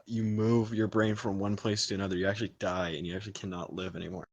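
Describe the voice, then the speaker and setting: A young man on a podcast, improvising deadpan comic anecdotes in a casual, understated, halting way. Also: lowish voice, no echo, highs cut off. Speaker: podcast member. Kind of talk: improvised deadpan comedy chat.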